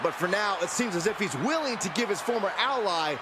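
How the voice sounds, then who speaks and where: A man acting as an excitable pro wrestling play-by-play announcer in a video game, urgent and exclamatory. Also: sits high, fairly quick. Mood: earnest. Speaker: wrestling commentator. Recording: loud arena crowd noise behind.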